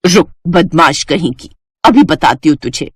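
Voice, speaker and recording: A woman's voice: low-pitched, expressive, a little slow, breathy edge, no room sound, clean studio sound, exaggerated voice. A woman voicing a doting middle-aged Indian mother who coaxes and fondly scolds in a moral story. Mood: fond, teasing. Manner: coaxing, affectionate, mock-scolding. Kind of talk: animated Hindi moral story dialogue.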